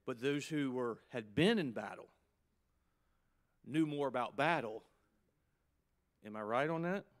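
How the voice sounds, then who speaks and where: A man's voice: medium pitch, expressive. Emphatic preacher in his fifties. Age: 50s. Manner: emphatic preaching with rising, punchy peaks and quick asides. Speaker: pastor. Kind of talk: sermon to pastors.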